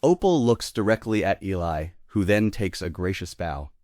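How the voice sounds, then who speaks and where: Deep-voiced man reading stage directions. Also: animated, normal speed, a little mellow, no room sound, clean studio sound. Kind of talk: scripted narration.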